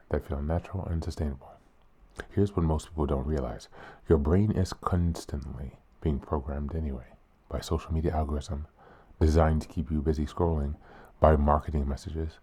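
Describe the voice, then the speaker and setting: A man hosting a reflective self-help podcast, reading aloud in a calm, earnest way, a little hoarse from being sick. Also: very deep voice. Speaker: podcast host.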